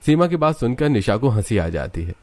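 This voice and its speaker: A man's voice: medium pitch, sing-song, brisk, a little mellow. A man narrating a scripted cartoon story as its storyteller.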